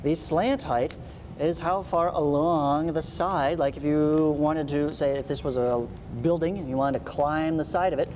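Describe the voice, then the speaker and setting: A man's voice: fairly high pitch, steady tone, a little slow, a little mellow, a little hiss or hum. A man teaching in a read-along lesson style, explaining step by step with asides and frequent check-in questions. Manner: explanatory, frequent check-in tags like "right?" and "okay?". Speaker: math teacher.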